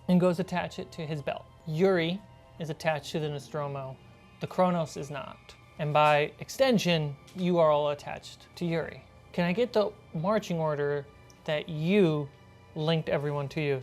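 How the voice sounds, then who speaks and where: A woman narrating a tabletop role-playing game as its game master. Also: low voice.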